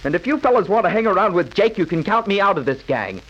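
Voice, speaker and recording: An actor playing a sulky, stubborn American schoolboy bully, grudging and emphatic, in 1940s radio drama style. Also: male voice, high-pitched, very animated, fast, a bit bright, full character voice. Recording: dull, crackly 1940s radio recording.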